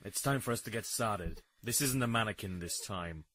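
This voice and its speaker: Calm, commanding doctor for horror audio drama, played by a man: husky yet bright, swinging pitch. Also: normal speed, dry recording. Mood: firm, instructing. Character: calm, commanding male surgeon in a secret lab.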